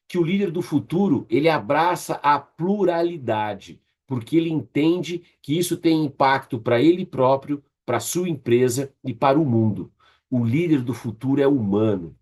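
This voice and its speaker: A man's voice: mid-range voice, darkish tone. A man with a Brazilian Portuguese accent giving a conversational leadership lecture, addressing his group directly and checking in with short tag questions in a didactic way. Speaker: leadership trainer.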